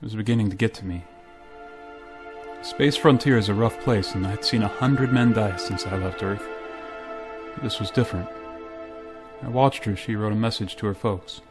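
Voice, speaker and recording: An actor playing a weary, stoic spaceship pilot who narrates a science-fiction radio drama in a terse, grave, restrained manner. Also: male voice, lowish voice, moderate pace, pauses often, music in the background, natural voice. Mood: somber narration.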